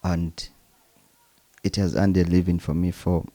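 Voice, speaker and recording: A man's voice: low voice, flat delivery, unhurried, warm tone, no room sound. A Ugandan man whose first language is Luganda, a percussionist chatting in an interview, chuckling and making preacherly asides. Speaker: percussionist guest. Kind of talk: relaxed interview conversation.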